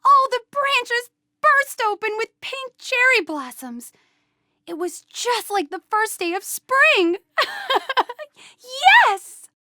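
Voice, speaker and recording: A woman's voice: very high voice, very animated, slow, sharp tone, no room sound, studio quality, heavily acted. A woman voicing a playful, exuberant young animal fairy for a video game, encouraging and eager to tell stories. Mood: cheerful, eager, then excited. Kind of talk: animated video game character dialogue, storytelling and instructions.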